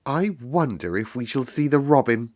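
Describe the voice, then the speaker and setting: Man voicing a dreamy young boy, higher-pitched. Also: very animated, a little mellow, lightly acted. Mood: hopeful. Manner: slow, careful dialect. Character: dreamy, careful young boy trying out Yorkshire dialect.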